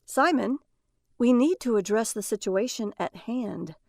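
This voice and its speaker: Woman playing a sharp-tongued, highly animated housewife. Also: unhurried, gravelly, no room sound, clean studio sound, exaggerated voice. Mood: distressed, accusing. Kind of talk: audio drama acting.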